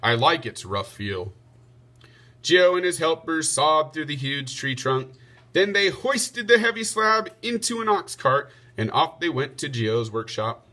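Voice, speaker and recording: A man's voice: a little high, lively intonation, unhurried, sharp tone. A man reading a children's storybook aloud expressively, as a teacher would.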